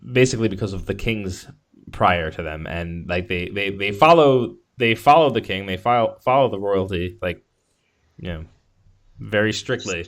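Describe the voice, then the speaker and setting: A man with a lowish voice talks casually as a podcast co-host. He is agreeable in manner and feels his way through sentences, often backing up to rephrase. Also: moderate pace. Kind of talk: casual movie discussion.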